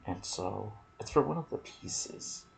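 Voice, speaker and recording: A man giving hushed ASMR gaming commentary, musing softly and often dropping toward a whisper. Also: lowish voice, expressive, a little slow, a loud crowd, low-quality recording. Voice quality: soft, breathy, partly whispered. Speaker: gaming video creator and host. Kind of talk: ASMR gaming commentary mixed with casual chat.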